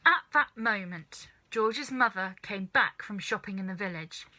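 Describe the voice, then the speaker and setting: A woman reading a children's story aloud as an expressive narrator. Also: medium pitch, measured pace. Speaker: storybook reader.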